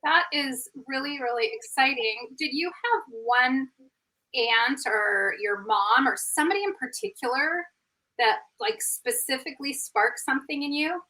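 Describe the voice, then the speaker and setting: Effusive woman host, high bright voice, coach running a live interview. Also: a little slow, rough recording. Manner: effusive, gushing praise.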